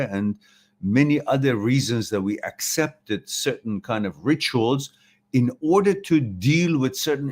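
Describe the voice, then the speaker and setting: A man in his sixties from Iran with an Iranian accent, giving an informal philosophical talk in a reflective, halting way as host. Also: mid-range voice. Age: 60s. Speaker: host of a live talk, answering viewer questions.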